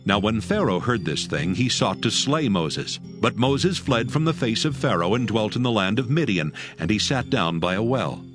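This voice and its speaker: A man's voice: on the low side, sing-song, moderate pace, few pauses. A man narrating scripture as storyteller in a dramatized audio production. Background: music.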